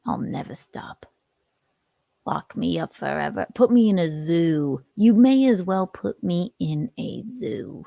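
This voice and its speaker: A woman's voice: on the low side, expressive, takes it slowly, creaky, dark tone, no echo, exaggerated voice. A woman voicing a violent, unrepentant male hawk in his forties who boasts about attacking bald men. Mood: menacing. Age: 40s. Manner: gleeful, unrepentant, menacing boasts.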